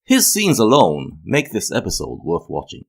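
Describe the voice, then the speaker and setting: A man's voice: lowish voice, huge pitch swings, moderate pace, gravelly. A man delivering scripted, opinionated video essay narration with formal phrasing, as the host.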